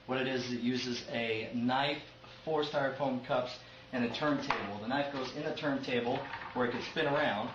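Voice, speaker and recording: A man explaining a magic routine step by step as a magician giving a demonstration. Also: medium pitch. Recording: noisy, echoey, muffled clip playback.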